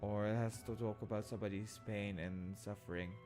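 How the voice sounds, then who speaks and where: A man's voice: lowish voice, steady tone, a little fast, darkish tone. A man giving loose, casual playthrough commentary as a game streamer, thinking aloud as he goes. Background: game music.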